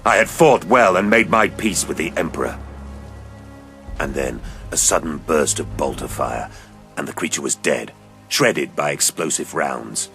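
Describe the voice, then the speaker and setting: A man playing a grim, haunted veteran space-soldier sergeant in an audio drama, speaking slowly and solemnly in a low, hushed growl. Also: animated, vocal fry, soft background music, exaggerated voice. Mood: grim, sorrowful. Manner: slow, brooding, solemn. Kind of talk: audio drama character acting.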